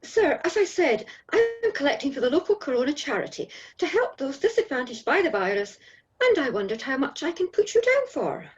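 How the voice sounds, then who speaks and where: A woman plays an earnest young female charity collector in a radio drama. The voice is extremely high and very expressive in pitch. Manner is polite, hesitant and pleading, on a slightly muffled recording. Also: brisk.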